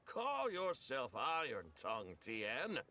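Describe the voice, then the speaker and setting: A man's voice: medium pitch, wildly swooping pitch, takes it slowly, pushed and bright, heavily acted. A man voicing a theatrical, boastful storyteller in a video game, with a dramatic, blustering manner. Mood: self-mocking. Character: theatrical, boastful, self-mocking male storyteller. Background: faint game music.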